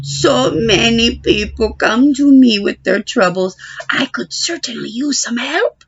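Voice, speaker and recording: A woman's voice: on the high side, slightly rough, lo-fi sound, voice pushed up. A woman voicing a wise, kindly village magic woman in a picture book, speaking without hurry. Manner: unhurried, kindly. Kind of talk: picture book read-aloud character voice.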